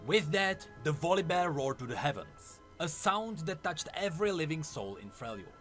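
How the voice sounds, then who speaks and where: A man's voice: fairly high pitch, very animated, moderate pace, a bit bright, soft background music. A man narrating a scripted story in a solemn, theatrical way. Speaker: lore narrator.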